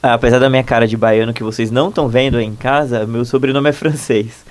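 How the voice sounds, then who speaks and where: A man's voice: mid-range voice, steady tone, fast. 30s guy from bahia, researcher, explains stuff fluent and keen. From Bahia, Brazil. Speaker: postdoctoral researcher guest. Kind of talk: interview answers.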